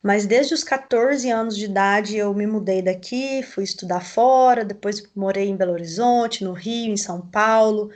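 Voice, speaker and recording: A woman with a Mineiro accent answering interview questions over a video call, explaining things in a measured way with frequent tag questions. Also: mid-range voice, darkish tone. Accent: Mineiro Brazilian Portuguese. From southern Minas Gerais, Brazil. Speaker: coffee growers' association representative.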